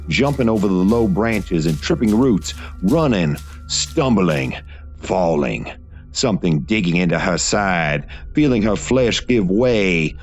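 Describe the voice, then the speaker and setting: A man reading scripted gothic horror fiction as a narrator, in a measured, literary storytelling manner. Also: sits low.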